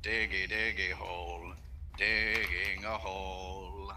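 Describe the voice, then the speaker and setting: A man voicing a robot mining drone, chanting playfully in a slow robotic way during a video game. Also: deep voice, level tone, very noisy. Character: singing robot mining drone, monotone and cheerful.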